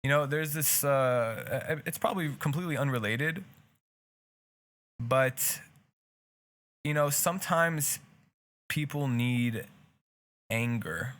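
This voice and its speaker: A man's voice: medium pitch, slow pace, pauses often, a bit bright. Earnest man with a Hebrew accent. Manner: earnest, rambling with frequent restarts. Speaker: podcast co-host. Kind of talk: casual podcast chat.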